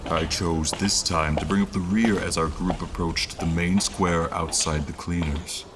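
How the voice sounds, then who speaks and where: A man acting as a weary noble traveler with a very low voice, telling his tale in an audio drama. His phrasing is formal and measured, and clear background ambience runs behind him. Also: steady tone, noisy background. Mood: measured narration. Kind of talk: scripted first-person story narration.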